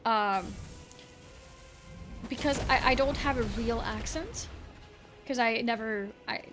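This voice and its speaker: A woman's voice: fairly high pitch, takes it slowly. A young Swedish woman streaming and chatting casually, with an American-leaning accent, rambling and thinking aloud. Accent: American-leaning English. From Sweden. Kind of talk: casual chat with viewers while gaming. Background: game music.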